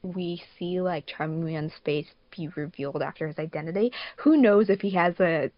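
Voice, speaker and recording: A woman's voice: fairly low pitch, normal speed, dry recording, highs cut off. A woman chatting casually as a panelist on a group podcast. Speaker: podcast panelist, fandub production crew member.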